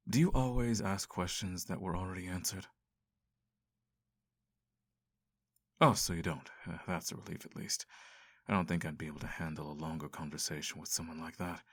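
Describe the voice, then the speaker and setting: A man's voice: low voice, no room sound. A man voicing a sardonic, seductive male incubus in an ASMR roleplay, theatrical and dryly sarcastic. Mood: weary, sheepish. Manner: theatrical, sighing, dry sarcasm.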